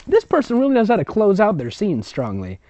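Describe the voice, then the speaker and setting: A lively, theatrical narrator reads fan fiction aloud and mocks it with sarcastic asides. The pitch swings widely, and the sound is dry. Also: a little fast, dry recording. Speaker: fan fiction reader and commentator. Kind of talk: comic dramatic reading with sarcastic asides.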